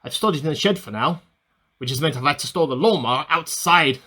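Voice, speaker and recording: A man's voice: fairly high pitch, a little fast, bright, forceful tone, slightly rough. A man playing an eccentric amateur scientist who earnestly records a self-important secret diary in a radio play. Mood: matter-of-fact. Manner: earnest, self-important, musing aloud in dated log entries.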